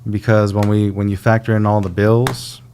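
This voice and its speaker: A man in his thirties from Austin, Texas, a guest talking in a relaxed, self-deprecating way. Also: on the low side, normal speed, a little hiss or hum. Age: 30s.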